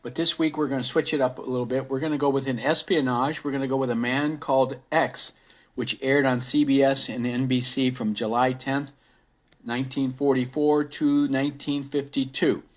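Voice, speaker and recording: A male podcast host delivers a show introduction. His voice has an average pitch for a man. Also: fairly even intonation, normal speed.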